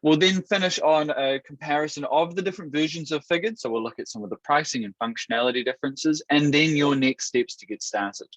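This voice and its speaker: A New Zealand man with a Kiwi accent presents a product webinar over a video call. His delivery is upbeat and steady, with the odd restart. The audio is dry and a bit dull. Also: on the high side, brisk, few pauses, dry recording. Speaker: webinar host.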